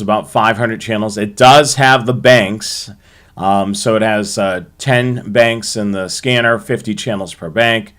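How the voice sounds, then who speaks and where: An American man hosting a hobby show, presenting to viewers in a halting way with frequent ums and uhs. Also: on the low side, a bit bright.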